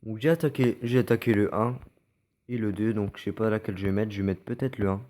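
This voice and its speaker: A man's voice: lowish voice, steady tone, fast talker, a little mellow. A man with a French accent, stuffy from a cold, giving casual, hesitant commentary over recorded game matches with many fillers and restarts. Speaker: gameplay commentator.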